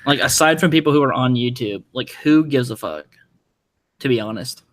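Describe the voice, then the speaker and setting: A man's voice: medium pitch, normal speed, a bit bright, a little hiss or hum. A man chatting casually on a group stream, crude and joking. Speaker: stream co-host.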